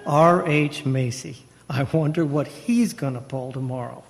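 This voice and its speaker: A man's voice: mid-range voice, slow pace, soft background music. A man acting a harried, indignant prosecutor in an old-time radio play, exasperated and emphatic. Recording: reverberant hall, stage radio play.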